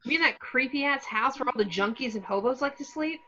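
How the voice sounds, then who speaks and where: A woman's voice: high voice, fairly quick, bright, forceful tone, highs cut off. A woman reading the part of a crude wisecracking teen boy, brash and profane, at an amateur table read.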